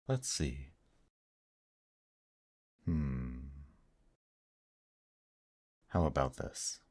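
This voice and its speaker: Tender demon companion , male actor, husky and close-miked with a very dry sound, reassuring and protective, for intimate ASMR audio roleplay. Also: a bass voice, dry recording, full character voice. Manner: soft, tender, reassuring, unhurried.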